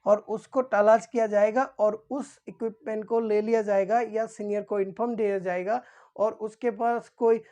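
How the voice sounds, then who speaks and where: A woman's voice: medium pitch, steady tone, moderate pace, mellow, highs cut off. A woman instructor giving an informal explanatory lesson, repeating key points and answering her own questions.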